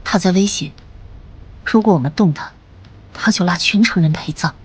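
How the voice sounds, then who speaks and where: A woman playing an alert, concerned young ally in a motion comic, with a husky, breathy voice. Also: fairly low pitch, moderate pace. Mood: alarmed. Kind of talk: dramatic Chinese motion-comic voice acting.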